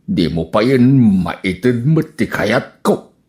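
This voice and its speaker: A man acts out a jealous, whiny husband in a radio comedy. His Ilocano-accented voice swings wildly up and down, yet he draws his words out slowly. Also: medium pitch, sing-song, a little mellow. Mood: sulky.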